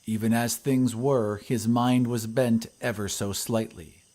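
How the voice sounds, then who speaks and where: A man reading a scripted horror story in a measured, literary storytelling manner. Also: medium pitch, fairly even intonation, mellow. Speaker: audiobook narrator. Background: ambient sound effects.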